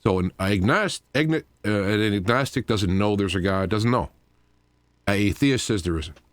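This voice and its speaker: Low-voiced man hosting talk radio. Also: normal speed, no echo. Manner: rambling, explanatory asides. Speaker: radio show host. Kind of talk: informal live radio monologue.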